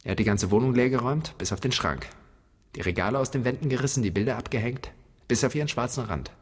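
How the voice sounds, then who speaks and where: A man's voice: medium pitch, quick pace, husky, natural voice. An actor playing a brooding grown son haunted by a father he never knew, speaking an introspective radio drama monologue. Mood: haunted, reflective.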